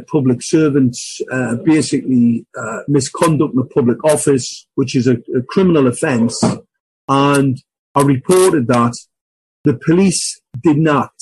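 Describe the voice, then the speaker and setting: Man, slightly high voice, unscripted interview guest, halting, repetitive, insistent, some background noise. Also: darkish tone, poor audio. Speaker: guest campaigner.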